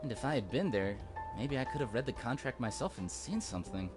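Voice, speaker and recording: Man acting an expressive, earnest young man over loud music. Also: medium pitch, fairly quick, close to an everyday voice. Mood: regretful, resigned. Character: earnest guilt-ridden young man in a mystery. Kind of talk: visual novel voice acting. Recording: game dialogue under loud music.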